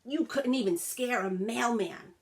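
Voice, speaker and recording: A woman's voice: on the high side, unhurried, voice pushed up. A woman voicing sneering, bullying neighborhood dogs from a storybook in a mocking, emphatic way. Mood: scornful, threatening.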